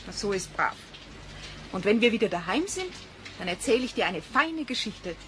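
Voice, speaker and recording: A woman's voice: mid-range voice, sing-song, normal speed, a bit bright. A woman playing a fussy mother who chides and coaxes her small child in a sing-song way, in a children's radio play. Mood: coaxing. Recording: clearly noisy background ambience.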